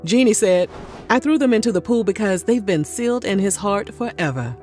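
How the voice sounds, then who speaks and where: A woman's voice: medium pitch, lively intonation, normal speed, darkish tone, faint music behind. A woman narrating a celebrity gossip video from a script and breaking in with sassy, snarky ad-libbed asides.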